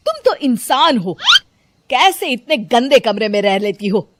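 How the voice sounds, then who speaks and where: A woman's voice: fairly high pitch, big pitch swings, a little slow, heavy background noise. A woman voicing an exasperated young husband in a cartoon, reproachful and fed up.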